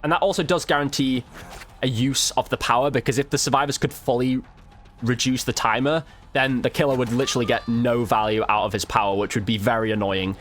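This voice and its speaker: A man's voice: mid-range voice, fairly even intonation, a little fast, a bit bright, a little hiss or hum. A man giving casual, slangy gameplay commentary as a video creator, thinking aloud as he goes.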